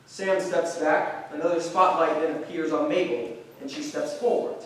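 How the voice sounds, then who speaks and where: A man's voice: a little high, animated, normal speed, fairly bright tone. A man reading stage directions as scripted narration at a staged play reading. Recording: very echoey room, distant mic, faint noise.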